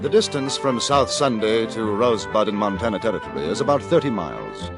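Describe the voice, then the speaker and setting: An actor playing a polite, reserved English gentleman reporter, narrating and acting in an old radio western. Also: fairly low pitch, very animated, brisk. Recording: old radio broadcast with music.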